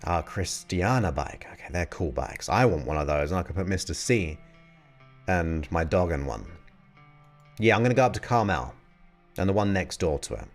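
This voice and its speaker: A man in his forties, a hypnotist, hosting a casual live Q&A, chatting in a relaxed way and reading viewer questions aloud. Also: sits low, moderate pace, faint music behind. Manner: relaxed, chatty, reads questions aloud and muses between them. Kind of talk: casual live-stream Q&A chat.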